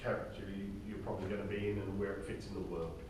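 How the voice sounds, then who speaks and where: A man with a fairly low voice leads an informal briefing for a group of actors. He speaks haltingly and checks in often. He is picked up from far off in a very reverberant room with faint noise behind him. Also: fairly even intonation, a little mellow. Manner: halting, often tags sentences with "okay?". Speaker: director and writer leading a drama table read. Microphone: distant room microphone. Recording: very echoey room, distant mic, faint noise.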